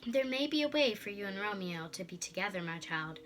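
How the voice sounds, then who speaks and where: A woman's voice: medium pitch, lively intonation, brisk, a bit bright, soft background music, natural voice. A woman voicing a kindly, troubled friar in a storybook, earnest and reassuring. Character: kindly troubled old friar counselling a girl.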